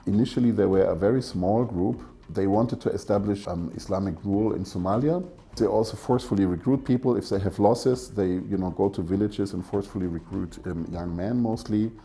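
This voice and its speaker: Deep gravelly man explaining calmly. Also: a little fast. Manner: informative, matter-of-fact. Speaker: expert. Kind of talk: explanatory interview.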